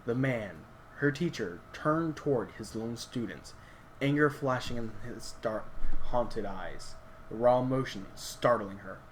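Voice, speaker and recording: A man's voice: medium pitch, unhurried, a little hiss or hum, rough recording. A man reading a game story aloud, with hesitant restarts and wry side remarks. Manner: reads with hesitant restarts, stops to comment. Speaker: story reader.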